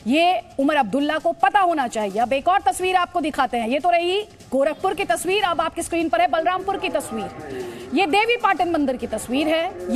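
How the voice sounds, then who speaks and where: A woman anchoring a television news debate, speaking emphatically, repeating points and posing pointed rhetorical questions. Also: sits high.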